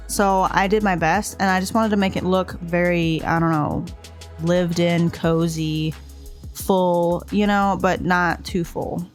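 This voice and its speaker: A woman casually narrating a speed build of her game house, a little stuffed up from allergies, rambling and hesitant. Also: fairly low pitch. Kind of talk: casual voice-over commentary. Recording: voice-over with faint music behind.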